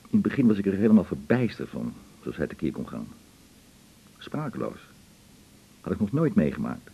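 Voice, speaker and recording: A man's voice: deep voice, very animated, moderate pace, husky, exaggerated voice. An actor playing a wry, easygoing male friend in a naturalistic radio drama, chatting in a dry manner. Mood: wry, relaxed. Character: wry, easygoing adult friend with stormy love life. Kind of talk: naturalistic 1980s Dutch radio drama acting. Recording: slightly dull 1980s radio recording.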